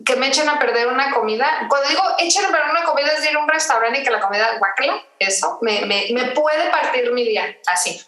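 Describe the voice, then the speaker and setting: A woman's voice: high voice, animated, quick pace, few pauses, pushed and bright, roomy sound, low-quality recording. A woman from Tijuana, hosting a podcast and chatting casually with lots of fillers. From Tijuana, Mexico. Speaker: podcast host. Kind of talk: casual chat.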